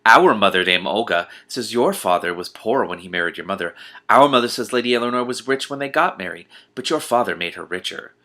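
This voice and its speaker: A man's voice: lowish voice, lively intonation, brisk, pushed and bright. A man voicing a snobbish teenage girl from a storybook, prim, condescending and boastful. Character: snobbish, boastful older sister, a girl in her teens.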